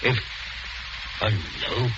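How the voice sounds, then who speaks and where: An actor in 1940s radio style playing a loyal, boastful Cockney ex-wrestler chauffeur, deferential and plain-spoken. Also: male voice, extremely slow. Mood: sturdy, dutiful. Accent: London Cockney. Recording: noisy, muffled old radio broadcast.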